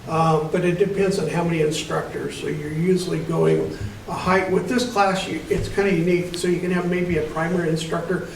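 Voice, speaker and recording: A man's voice: a little high, fairly even intonation, fairly quick, gravelly, a little hiss or hum, low-quality recording. A man answering audience questions on a panel as an emergency preparedness trainer, explaining at length with many restarts.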